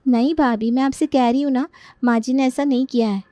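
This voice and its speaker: Woman as a high-voiced suspicious young bride. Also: steady tone, fairly quick, warm tone, no room sound, natural voice. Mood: insistent, resentful.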